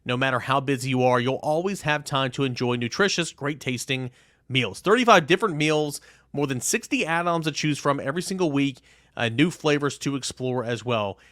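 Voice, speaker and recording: A man's voice: mid-range voice, lively intonation, fairly quick, fairly bright tone, no echo, studio quality. A man hosting a sports podcast, moving between ad reads and talk to the listener.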